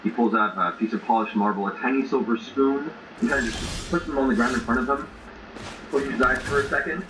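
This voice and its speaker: A man acting as game master, improvising descriptive narration for a tabletop game with halting filler words. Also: medium pitch, noisy background. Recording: roomy, distant mics, music behind.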